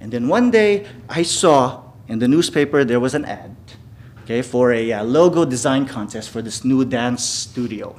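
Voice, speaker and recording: A man from the Philippines, a native Filipino speaker, tells personal stories to a live audience. He talks at an ordinary, middle pitch and keeps checking in with the room by asking "okay?". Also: lively intonation, normal speed, darkish tone. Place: venue before a live audience.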